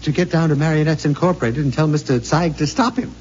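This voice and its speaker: An actor playing a shaken, frightened husband who stammers urgently in a 1950s radio drama. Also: male voice, fairly high pitch, fast talker, darkish tone, husky. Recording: dull 1950s radio broadcast with faint hum.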